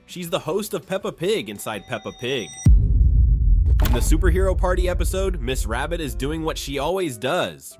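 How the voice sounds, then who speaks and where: A man narrating a countdown commentary video with a wry, casual, jokey manner. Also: a little high.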